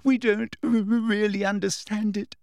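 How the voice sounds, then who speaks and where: A man's voice: big pitch swings, slow, no room sound, clean studio sound, heavily acted. A man voicing a teenage girl who puts on a desperate, tearful confession, stammering and wailing. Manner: stammering, gulping, shrill wailing.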